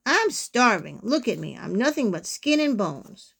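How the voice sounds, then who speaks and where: A woman's voice: mid-range voice, very animated, unhurried, creaky, fairly bright tone, husky, heavily acted. A woman voicing a sly, weary, hungry male wolf in a storybook, with a confiding, wheedling manner.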